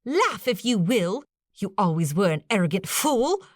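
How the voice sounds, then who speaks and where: A woman's voice: medium pitch, big pitch swings, unhurried, no echo, studio quality. A woman voicing a furious, righteous colonial woman in an audiobook, commanding and emphatic as she pronounces judgment. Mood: indignant, angry.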